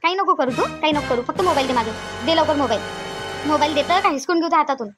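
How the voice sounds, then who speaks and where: A woman's voice: sits high, quick pace, over music, natural voice. A woman voicing a bossy, suspicious older mother-in-law in a cartoon family skit, insistent and repetitive, with a Vidarbha Marathi accent. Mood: suspicious, demanding. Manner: scolding, insistent, repeats her demands.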